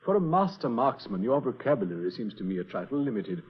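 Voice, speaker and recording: Man playing a wry brilliant detective, measured precise delivery, mid pitch, muffled old radio sound, long reverb. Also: big pitch swings, close to an everyday voice. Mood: mocking. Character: brilliant, dryly amused male detective. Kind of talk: 1940s radio acting.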